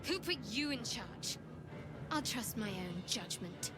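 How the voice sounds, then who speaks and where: A woman's voice: fairly high pitch, expressive, slow. A woman acting a guarded, pragmatic young cleric adventurer in a video game. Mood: wary, composed. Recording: game music behind the voice.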